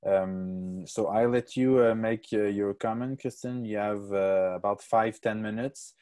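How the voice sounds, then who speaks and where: A man moderating an online seminar, introducing the next speaker with a lot of fillers and pauses. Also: lowish voice, fairly even intonation, a little mellow. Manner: halting, many fillers. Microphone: video call.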